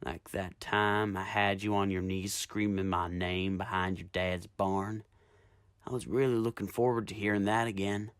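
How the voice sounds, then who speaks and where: A woman voicing a cruel, smirking gangster man in a gravelly, taunting drawl for a story reading. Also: very deep voice, little pitch movement, moderate pace, no room sound, clean studio sound, pitched below the performer's own voice. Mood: smug taunting.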